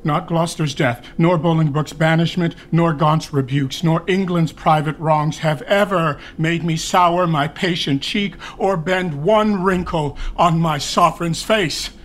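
A man's voice: fairly high pitch, fairly even intonation, a little slow, hardly any pauses, lightly acted. An actor playing a grieving old duke who pleads earnestly with his nephew the king, in a classical stage style. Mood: grieved.

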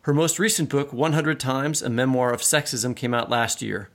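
A man's voice: medium pitch, normal speed. A man co-hosting a podcast, reading a scripted introduction. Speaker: podcast co-host.

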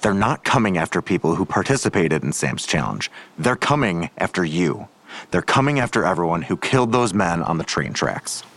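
A man's voice: low-pitched, sing-song, moderate pace, a bit bright, full character voice. An actor playing a blunt, world-weary father in an audio drama, dry and clipped in delivery. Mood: guarded.

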